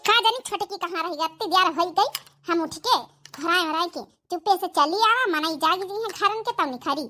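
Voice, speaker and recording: A woman's voice: very high voice, normal speed, sharp tone, faint music behind. A woman voicing a sneaky young village sister-in-law with an Awadhi accent, wheedling and chattering in a comedy cartoon. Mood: impatient, secretive.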